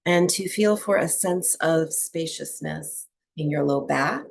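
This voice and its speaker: A woman teaching breathwork and meditation to a small group, speaking softly and soothingly with long pauses between phrases. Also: deep voice, creaky. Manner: soft, unhurried, soothing delivery with long pauses.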